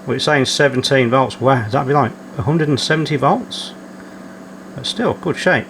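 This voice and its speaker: A man's voice: medium pitch, moderate pace. A man hosting a repair video, thinking aloud step by step as he gives hands-on technical commentary. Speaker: repair channel host. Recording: clearly noisy, steady hum behind.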